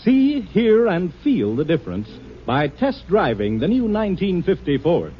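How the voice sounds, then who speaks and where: A man announcing a 1950s radio commercial with emphatic, polished salesmanship and a husky voice. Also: high-pitched, huge pitch swings, moderate pace. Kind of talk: 1950s radio advertising read. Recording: muffled vintage radio recording.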